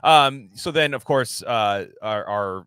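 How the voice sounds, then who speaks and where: A man co-hosting a podcast, talking casually about film in a halting, rambling way and often slipping into imagined dialogue. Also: mid-range voice, lively intonation, fairly bright tone, smooth, no room sound, clean studio sound. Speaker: podcast co-host.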